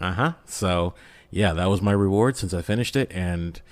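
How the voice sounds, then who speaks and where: A man's voice: low-pitched, moderate pace, dry recording. A man chatting casually as a podcast guest and voice actor, playful and joking with theatrical asides. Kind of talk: casual chat.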